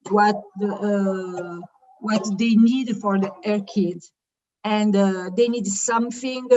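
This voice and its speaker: A middle-aged woman gives an informal business presentation in English, haltingly searching for words. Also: mid-range voice, lo-fi sound. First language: not English. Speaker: childcare founder presenting her business.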